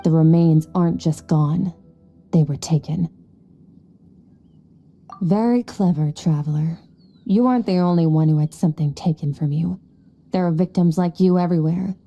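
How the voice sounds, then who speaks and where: A woman's voice: on the low side, moderate pace, long pauses, dark tone. A woman playing a cold, aloof and powerful young female warrior in a video game, curt, detached and condescending. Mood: grave, detached.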